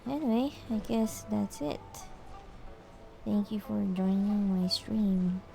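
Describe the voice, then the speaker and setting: A woman streaming a game, chatting casually in a low-key, hesitant way. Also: takes it slowly, gravelly, dark tone, faint music behind. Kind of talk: casual livestream chat.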